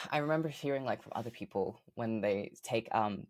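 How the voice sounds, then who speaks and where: A woman with a fairly deep voice, a podcast guest chatting casually and upbeat, with lots of ums and likes. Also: moderate pace, dry recording. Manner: chatty, upbeat, many fillers like um and like. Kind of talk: casual interview chat.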